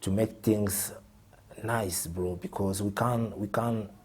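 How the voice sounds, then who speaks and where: A man's voice: on the low side, fairly even intonation, takes it slowly, breathy edge. A man from Congo, a music artist guest talking earnestly in a casual interview and often repeating his words.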